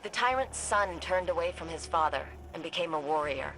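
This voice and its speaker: A woman playing an earnest, thoughtful sci-fi ally in a video game, with a measured, dramatic and reflective delivery. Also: lowish voice, animated, noisy background, close to an everyday voice. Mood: solemn storytelling. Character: earnest, thoughtful female sci-fi ally guiding a soldier by comms. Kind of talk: scripted video game dialogue and storytelling. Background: prominent music.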